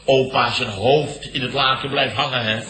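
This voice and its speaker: An actor playing a blustering, pompous middle-aged Dutch company boss in a comic 1970s radio play, grumbling and declaiming theatrically. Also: male voice, medium pitch, fairly even intonation, normal speed, over a noisy crowd, natural voice. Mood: grumbling storyteller. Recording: roomy old radio comedy recording.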